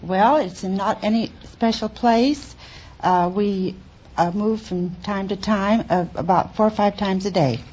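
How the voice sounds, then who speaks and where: Polite, husky-edged American woman on old radio. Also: mid-range voice, measured pace, a little mellow. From Salt Lake City, United States. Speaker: quiz contestant, librarian. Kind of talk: interview answers on a radio quiz. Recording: dull old radio recording.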